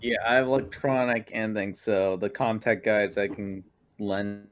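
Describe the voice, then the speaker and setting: A man's voice: lowish voice, fairly even intonation, measured pace. A man talking casually as a player in a tabletop roleplaying game.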